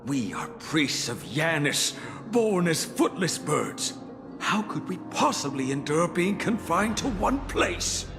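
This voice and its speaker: A stern old priest of a fantasy game, speaks in formal, declamatory lines. His pitch swings widely, and the voice rings in a long reverb with music clearly behind it. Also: sing-song, takes it slowly, full character voice. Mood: indignant. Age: older. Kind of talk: video game voice acting.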